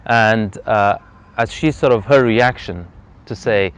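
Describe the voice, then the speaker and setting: A man, telling his personal story as an interview guest outdoors in earnest, reflective, measured speech. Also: fairly low pitch, clean tone. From Zambia. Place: outdoors on a freezing day. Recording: slightly dull lo-fi outdoor recording.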